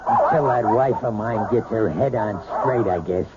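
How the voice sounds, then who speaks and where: An actor playing a gruff, impatient, domineering husband with a blunt, sarcastic manner in an old-time radio drama. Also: male voice, lowish voice, normal speed, heavy background noise, close to an everyday voice. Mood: curt, dismissive. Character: gruff, impatient, domineering husband who belittles his wife. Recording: muffled vintage radio recording.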